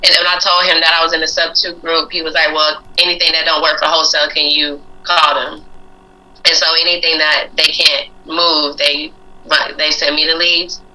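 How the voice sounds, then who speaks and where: A woman's voice: sits low, flat delivery, normal speed, pushed and bright, poor audio. A woman talking casually about real estate investing, laid-back, with slang and easy laughs. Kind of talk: casual chat.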